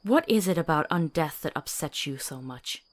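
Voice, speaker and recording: A woman playing a compassionate, sharp-tongued companion to a monster hunter in a fantasy visual novel, earnest and articulate. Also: low voice, lively intonation, normal speed. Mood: troubled, pleading.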